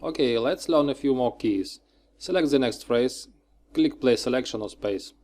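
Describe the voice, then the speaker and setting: A man narrating a scripted software tutorial, giving short, clipped step-by-step instructions. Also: mid-range voice, moderate pace.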